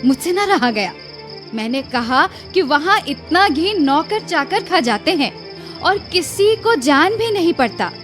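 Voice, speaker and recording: Wronged young village wife, radio play, really high voice, slow indignant complaining. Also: female voice, sing-song, a bit bright, soft background music, exaggerated voice. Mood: indignant complaint. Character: aggrieved, proud young village wife complaining to her husband. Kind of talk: Hindi radio drama acting.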